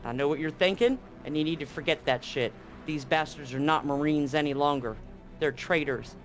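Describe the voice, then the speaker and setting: Man acting a gruff, commanding Marine officer. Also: on the high side, moderate pace, fairly bright tone, faint music behind, lightly acted. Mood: hard warning. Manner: barking, commanding, blunt. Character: gruff, profane male Marine commander briefing his squad.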